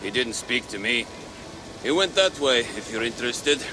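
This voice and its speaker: An actor playing a laconic, guarded Viking shipbuilder in a video game, answering in a terse, offhand way. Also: male voice, mid-range voice, animated, moderate pace, background noise, natural voice. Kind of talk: video game cutscene acting.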